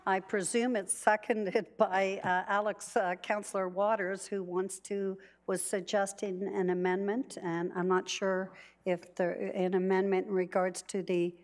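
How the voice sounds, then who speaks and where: A woman's voice: medium pitch, little pitch movement, unhurried. A woman councillor speaking in a council meeting, hesitant and apologetic, her voice wearing out. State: losing her voice. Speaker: town councillor.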